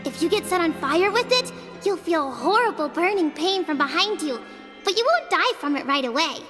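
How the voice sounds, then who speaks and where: A very high, childlike girl of a tribe telling an old legend in an earnest, matter-of-fact way. The voice sits in a long reverb with clear music underneath, like a game cutscene. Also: big pitch swings, moderate pace, a bit bright, heavily acted. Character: young girl of a tribe, storyteller. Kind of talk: game dialogue voice acting.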